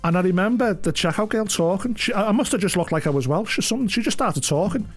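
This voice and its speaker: A man's voice: high-pitched, lively intonation, fast talker, husky. A man with an English accent chatting casually on a stream, telling rambling, sweary anecdotes. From England. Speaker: game live streamer. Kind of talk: casual chat, animated anecdotes. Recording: music behind the voice. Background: music.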